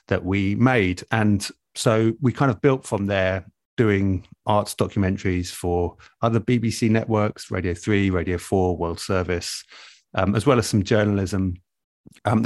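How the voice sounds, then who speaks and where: A man, an audio producer, giving reflective interview answers in a measured, thoughtful way with pauses mid-sentence. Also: sits low, dry recording, clean studio sound. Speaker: radio and audio drama producer, interview guest.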